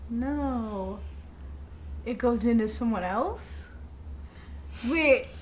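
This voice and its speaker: A woman's voice: on the high side, animated, drawn-out words. A young woman co-hosting a podcast in loose, casual chat with a friend, blunt and informal. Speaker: podcast co-host.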